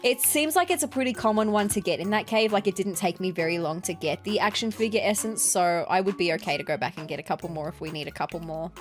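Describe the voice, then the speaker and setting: A woman's voice: lowish voice, fast talker, hardly any pauses, faint music behind. A woman with an Australian accent, sounding nasal from allergies, chatting casually as she plays and builds in a video game. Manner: chatty, upbeat, thinking aloud while building. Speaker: let's play gaming host. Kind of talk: casual gameplay commentary.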